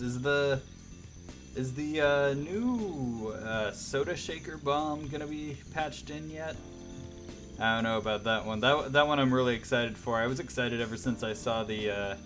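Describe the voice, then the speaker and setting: A man's voice: mid-range voice, a bit bright. A man hosting a radio-style livestream, chatting casually and enthusiastically to viewers in a rambling way.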